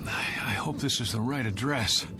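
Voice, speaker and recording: A man's voice: mid-range voice, normal speed, noisy background, lightly acted. An American actor playing a desperate, agitated fugitive in a television drama, stammering and insisting urgently that he is sane. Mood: anxious, hopeful. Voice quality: stammering, breaking phrases.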